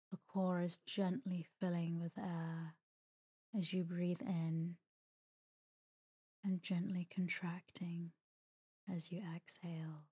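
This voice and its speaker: Slow, breathy woman guiding sleep meditation. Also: lowish voice. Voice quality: soft, breathy, near-whisper at times. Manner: calm, soothing, gentle, with long pauses. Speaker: meditation guide, podcast host. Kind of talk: guided sleep meditation, slow scripted narration.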